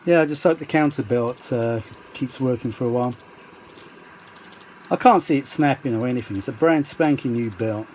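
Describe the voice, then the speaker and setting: A man's voice: medium pitch, moderate pace, darkish tone, a little hiss or hum, rough recording. A man giving a casual, rambling hobbyist demonstration, chatty and self-deprecating, with muttered asides. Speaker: vintage audio hobbyist presenting a restoration.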